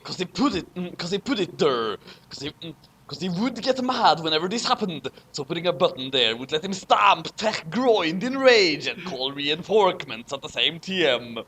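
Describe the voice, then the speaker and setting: A person giving a dramatic amateur reading, halting and stumbling over words, with casual asides. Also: medium pitch, big pitch swings, a bit bright, slight background noise. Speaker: reader of a fanfic.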